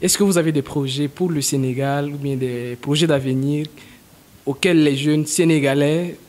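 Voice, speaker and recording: A male interviewer who praises his guest warmly and asks long, winding questions. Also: on the high side, moderate pace.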